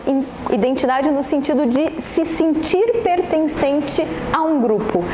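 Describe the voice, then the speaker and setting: A woman historian with a southern Brazilian accent from Rio Grande do Sul, explaining her research in an interview in a reflective way, posing questions and answering them herself. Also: high-pitched. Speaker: historian and author being interviewed. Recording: echoey room with faint noise.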